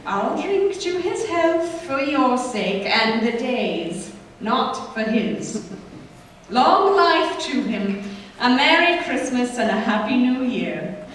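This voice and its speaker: Resentful working wife, highish voice, sardonic, radio play, distant reverberant hall. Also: female voice, very animated, slow pace, fairly bright tone, full character voice. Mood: grudging. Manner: sardonic, theatrical. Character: resentful wife of a poor clerk, sharp but dutiful. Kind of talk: stage radio play acting. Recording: very echoey hall from afar, faint noise.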